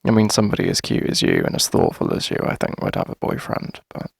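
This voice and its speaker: A man playing a shy, friendly, flirty coffee shop owner in a gentle ASMR roleplay, speaking hesitantly in a husky, breathy voice. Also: deep voice, wildly swooping pitch, no room sound, studio quality, heavily acted. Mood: awkward, flirty. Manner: hesitant, gentle, halting with soft chuckles. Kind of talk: soft conversational ASMR roleplay.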